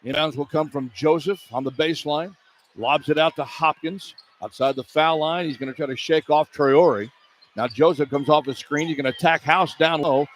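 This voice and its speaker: A man's voice: on the high side, moderate pace. A man calling live basketball play-by-play for radio, in clipped running descriptions that turn emphatic on big plays. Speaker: play-by-play announcer. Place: college basketball arena. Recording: arena noise behind live broadcast. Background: arena ambience.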